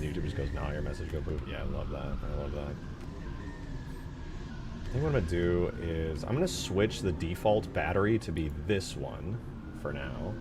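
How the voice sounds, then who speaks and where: Deep-voiced man thinking aloud on a livestream. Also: background noise. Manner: thinks aloud, informal slangy talk. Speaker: game modder streaming. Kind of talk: casual livestream commentary while building, answering chat. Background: music behind the voice.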